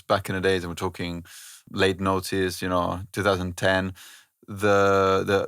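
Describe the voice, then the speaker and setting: A man in his thirties from Italy with an Italian accent, a podcast guest talking thoughtfully with many pauses and fillers. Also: deep voice, vocal fry, fairly bright tone, dry recording, clean studio sound. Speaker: orchestra director, podcast guest. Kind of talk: conversational interview.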